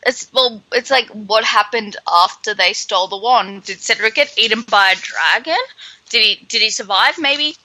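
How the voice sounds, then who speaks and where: A woman co-hosting a podcast, chatting casually through a book with animated, sardonic asides. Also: fairly high pitch, normal speed, no echo, rough recording. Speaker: podcast co-host. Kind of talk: casual book read-along chat.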